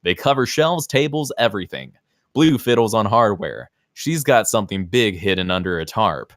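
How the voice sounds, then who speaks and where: Guy narrating a script table read, punchy n dramatic, lots of pitch movement, dry mic. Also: big pitch swings, moderate pace, no echo. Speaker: stage-direction narrator.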